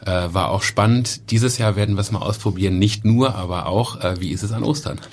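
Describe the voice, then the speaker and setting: A man talking calmly about his training and career as an architect, with frequent fillers. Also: lowish voice, a little fast. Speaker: architect and festival co-organizer. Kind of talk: casual podcast conversation.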